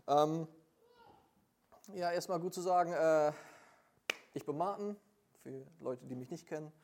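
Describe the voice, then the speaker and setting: Hesitant young preacher with a mellow voice. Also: male voice, fairly high pitch, a little slow, slight background noise. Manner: hesitant, many fillers, plain earnest delivery. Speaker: lay preacher and missionary. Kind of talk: informal church sermon with prayer.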